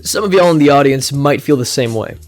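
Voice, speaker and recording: A man's voice: mid-range voice, lively intonation, fairly quick, soft background music. A young man from the United States, giving a scripted class speech in a casual, wry way with slangy asides. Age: young adult. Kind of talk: recorded speech, scripted but jokey.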